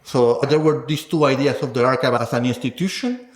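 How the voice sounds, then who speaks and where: A man with a mid-pitched voice talks in a reverberant room. He explains scholarly ideas in a thoughtful, conversational interview style, often checking in with short tag questions. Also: brisk. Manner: thoughtful, explanatory, tags phrases with "no?" and "right?". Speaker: sound scholar, interviewed guest. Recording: echoey room.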